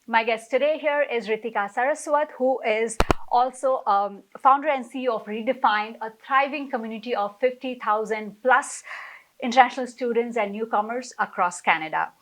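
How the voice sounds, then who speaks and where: A woman hosting a studio talk show interview, speaking in a measured, formal way with frequent fillers. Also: fairly high pitch, a bit bright, a little hiss or hum, rough recording. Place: television studio.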